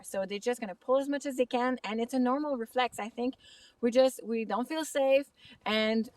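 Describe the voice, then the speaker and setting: Woman with french canadian accent, chatty lesson outside, highish voice, distant mic. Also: no room sound. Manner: casual, chatty, slightly halting English. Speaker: kitesurfing instructor. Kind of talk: outdoor tutorial vlog. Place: snowy lake outdoors in winter.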